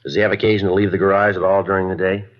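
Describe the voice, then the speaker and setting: A man acting a stoic, terse police detective in an old-time radio drama, matter-of-fact and deadpan. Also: deep voice, rapid-fire, close to an everyday voice. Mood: businesslike. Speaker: lead actor and narrator of a detective series. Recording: dull vintage radio broadcast with music.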